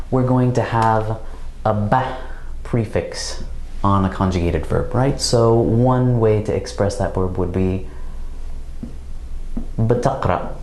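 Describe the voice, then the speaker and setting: A man giving a recorded language grammar lesson, explaining slowly and carefully with example phrases. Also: mid-range voice, darkish tone, roomy sound. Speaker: language teacher.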